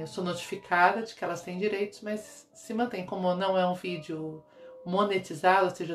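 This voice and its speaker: A Brazilian woman in her fifties speaks with a slightly nasal, medium-pitched voice. She talks in a conversational, reflective way to her followers, often checking in with them. The small room echoes around her, with music in the background. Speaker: therapist and meditation guide. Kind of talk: casual reflective livestream talk to followers. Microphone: phone or webcam at arm's length.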